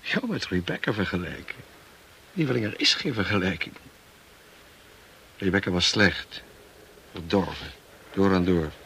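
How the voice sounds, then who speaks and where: A noisy old radio drama recording carries a tormented husband. His voice is very low and creaky. He speaks gravely and bitterly to his wife, with heavy dramatic pauses. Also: fairly bright tone. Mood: relieved, confiding. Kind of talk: Dutch radio play acting.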